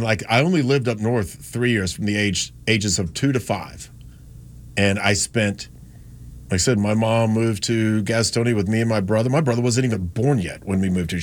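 A man from North Carolina hosting a radio show, talking in a rambling, chatty way with frequent restarts and no strong accent. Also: lowish voice. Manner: chatty, rambling, self-correcting. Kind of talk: casual on-air talk.

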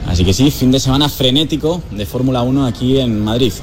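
A man's voice: mid-range voice, quick pace, music in the background. A man with a Castilian Spanish accent giving a scripted radio field report.

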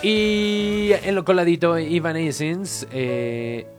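A man's voice: a little high, fairly even intonation, slow. A man with a Mexican accent hosting a morning radio show, bantering and teasing callers in a playful way. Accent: Mexican Spanish. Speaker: radio host. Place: radio studio. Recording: radio broadcast with music underneath.

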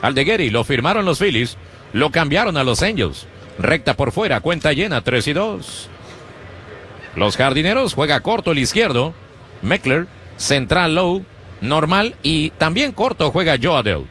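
Animated man calling baseball on radio. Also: unhurried, slight background noise. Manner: animated, rhythmic pitch-by-pitch calling. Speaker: play-by-play announcer. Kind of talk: Spanish-language baseball radio commentary.

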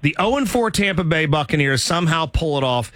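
A man's voice: fairly high pitch, animated, fairly bright tone, dry recording. Joking male sports radio host. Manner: jokey, teasing, reads promos quickly. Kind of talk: casual sports radio chat. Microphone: studio microphone.

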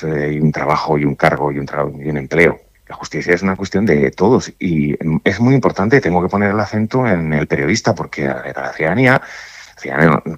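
Deep-voiced man, halting and thoughtful. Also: no room sound. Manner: thoughtful, halting, many restarts and fillers. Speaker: judge interviewed on radio. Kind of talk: radio interview answers.

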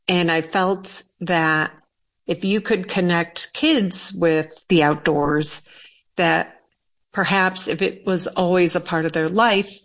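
A woman from western Massachusetts speaks slowly and deliberately as a panel guest. Her voice is slightly low, and she takes her time with each thought. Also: fairly even intonation. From western Massachusetts, USA. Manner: measured, unhurried. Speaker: panel guest, nature educator. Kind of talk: conversational answers.

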